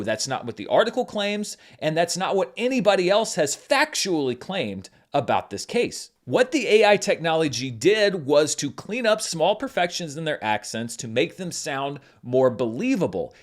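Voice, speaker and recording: Male host, film critic, lively explainer delivery, slightly high voice. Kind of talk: scripted commentary.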